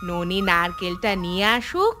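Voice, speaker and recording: A woman voicing a kindly old village grandmother in a cartoon, affectionate and unhurried, lingering over her words. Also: fairly low pitch, big pitch swings. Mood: fond, reassuring. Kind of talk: Bengali cartoon voice acting. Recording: music behind the voice. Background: background music.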